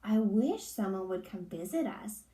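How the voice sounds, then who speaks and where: A woman voicing a great big gruff papa bear in a storybook, bellowing his complaints. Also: mid-range voice, lively intonation, measured pace, dark tone, reverberant, natural voice, low-quality recording. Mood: wistful.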